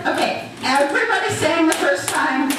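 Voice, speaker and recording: A woman's voice: high voice, expressive, moderate pace. A middle-aged woman giving informal, encouraging instructions to an audience as a stage performer, speaking into a handheld microphone. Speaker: talent show performer leading audience participation. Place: large church hall, indoors. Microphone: handheld microphone through PA, recorded by far camera. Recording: echoey hall, distant, noisy audience. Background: audience chatter and noise.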